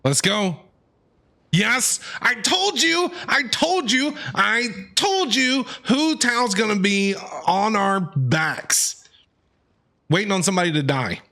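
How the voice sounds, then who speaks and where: An excitable male streamer reacting to a video game. His voice is bright, fairly high and very animated, and he chats casually, repeating himself, with game music faintly behind. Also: slow. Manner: excitable, chatty, repeats phrases. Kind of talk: casual live gameplay reaction commentary.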